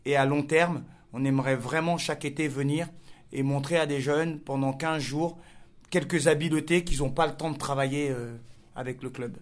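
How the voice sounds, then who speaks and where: A man from France with a French accent, a soccer coach answering interview questions hesitantly, with many pauses and fillers. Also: on the high side, fairly even intonation.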